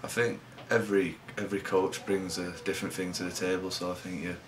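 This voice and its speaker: A young man from England, a rugby league player giving low-key, halting interview answers. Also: flat delivery, noisy background.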